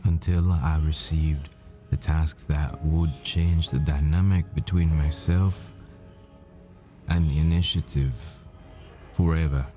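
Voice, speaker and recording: Boyfriend roleplay lead, male actor: haunted hitman living as a professor, very deep male voice, measured delivery, intimate and smitten. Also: steady tone, warm tone, soft background music. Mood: grave, confessional. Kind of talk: ASMR boyfriend roleplay, dramatic monologue.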